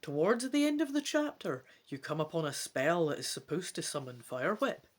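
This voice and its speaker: A woman's voice: sits low, brisk. A woman hosting a gamebook let's play, switching between casual, chatty asides and dramatic reading aloud. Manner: chatty, animated, self-questioning asides.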